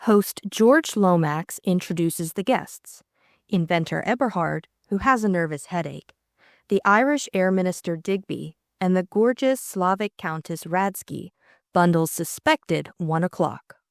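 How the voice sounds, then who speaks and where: A woman's voice: lowish voice, animated, unhurried, no room sound, clean studio sound. A woman reading a scripted mystery recap and novel as an audiobook co-narrator, in dramatic style.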